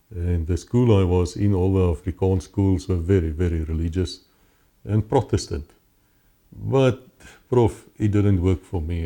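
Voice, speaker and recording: A man's voice: sits low, mellow. A man with a South African accent, telling his life story as an interview guest, earnest and often restarting his words. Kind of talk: personal testimony in conversation.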